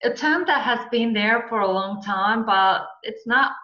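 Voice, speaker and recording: A Finnish-accented woman talks slowly as an interview guest, sharing personal stories and explanations. Her voice sits at a middle pitch, and the sound is roomy and a little dull. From Finland. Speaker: interview guest, coach and mentor.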